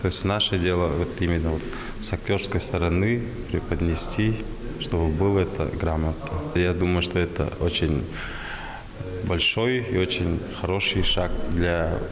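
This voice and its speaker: A man answers in measured phrases. The recording rings with hall echo over a clearly audible crowd. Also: sits low, fairly even intonation. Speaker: musician and throat singer, voice actor. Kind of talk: unscripted interview answer. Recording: echoey reverberant hall with crowd.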